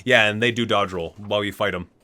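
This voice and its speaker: A man's voice: on the low side, steady tone, quick pace, sharp tone. A man hosting a gaming ASMR video, reading entries aloud and dropping into casual, amused asides.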